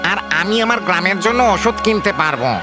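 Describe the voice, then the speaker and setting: A man voicing a feisty squabbling villager in an animated fairy tale. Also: sits high, fast, over loud music. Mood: delighted. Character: feisty villager squabbling over a magic hat.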